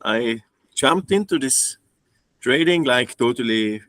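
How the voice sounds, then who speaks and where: A man's voice: mid-range voice, lively intonation, takes it slowly, a bit bright, dry recording. Man in his thirties. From Austria. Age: 30s. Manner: hesitant, restarts often, non-native phrasing. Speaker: guest trading student. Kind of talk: casual interview.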